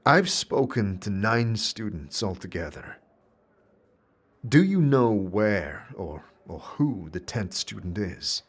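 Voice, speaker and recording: A scarred wanderer, played by a man with a lowish voice who speaks mildly and stammers now and then. His tone moves lively between notes, in a fan audio drama. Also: takes it slowly, breathy edge, full character voice. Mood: hesitant. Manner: hesitant, stammering, mild. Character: scarred amnesiac man, hesitant and polite.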